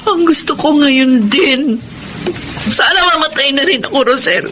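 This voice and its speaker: An actress playing a humble, deeply emotional young mother in a Filipino radio drama, with a Filipino accent. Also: expressive, unhurried, noisy background. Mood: despairing. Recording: clear music bed under voice.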